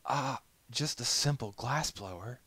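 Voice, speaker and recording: A man's voice: medium pitch, measured pace, bright, forceful tone, husky, pitched above the performer's own voice. A man voicing an anxious, lovestruck pony glassblower in a storybook reading, with an earnest manner. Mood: nervous, courtly.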